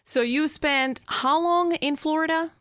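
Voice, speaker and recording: Young female podcast host, a bit high, chatty and encouraging, dry studio sound. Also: unhurried, a bit bright, no echo. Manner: encouraging, frequent mm-hmm and wow. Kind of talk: casual interview.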